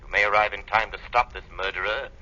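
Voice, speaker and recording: A man playing a mysterious, menacing crime-fighter in old radio drama style, speaking in a measured, formal and ominous way. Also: lowish voice, steady tone, bright, forceful tone. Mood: grave, ominous. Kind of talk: 1940s radio drama acting. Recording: dull, lo-fi old radio recording.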